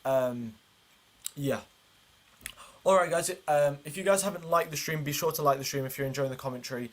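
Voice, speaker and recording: A man with a slightly high voice commentates live on a motor race as a stream host. His delivery is informal and chatty, and he often explains what is happening to his viewers. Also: fairly quick.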